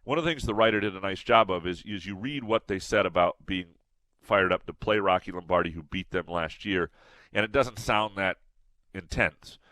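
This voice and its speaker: A man's voice: deep voice, normal speed, pushed and bright, no echo, poor audio. A man co-hosting a sports podcast in casual chat, a little nasal, rambling with restarts and fillers. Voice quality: slightly nasal.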